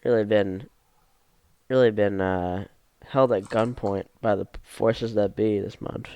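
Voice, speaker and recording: A man's voice: on the low side, steady tone, a little slow, warm tone, clean tone. A man co-hosting a podcast in casual chat, sniffly with a cold, teasing and joking playfully. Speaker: podcast co-host.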